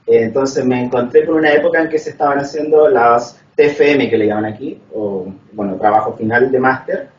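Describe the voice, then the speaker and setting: A man from Chile puts a long, structured question to a speaker at a conference. He talks methodically and leans on "eh" as he lays out his points. He is recorded from across an echoey hall, a little dull and lo-fi, with faint room hum behind him. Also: mid-range voice. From northern Chile. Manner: measured, methodical, frequent "eh" fillers. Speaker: audience member asking a question. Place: lecture hall. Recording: echoey, distant, dull lo-fi sound.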